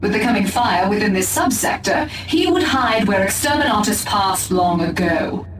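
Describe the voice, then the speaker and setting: A woman's voice: medium pitch, expressive, normal speed, noisy background, natural voice. Woman doing a stern proud elf leader, formal, echoey and kinda far. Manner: commanding, formal.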